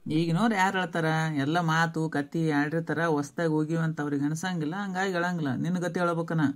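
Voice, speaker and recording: A woman with a North Karnataka accent playing a blunt, exasperated middle-aged relative in a family skit, brisk and scolding. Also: sits low, fairly even intonation, lightly acted.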